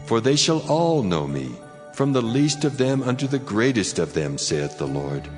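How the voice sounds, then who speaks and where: A man voicing God as a solemn, fatherly, commanding deity in a measured dramatized scripture reading. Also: mid-range voice, sing-song, lightly acted. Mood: solemn, resolute. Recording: long cavernous reverb, music underneath. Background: music.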